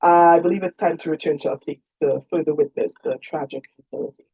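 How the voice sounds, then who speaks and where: A gallant young gentleman investigator , read by a woman, courteous and wry. He is understated and husky in an audiobook romance, with a dull recorded sound. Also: low voice, a little fast, no echo, full character voice.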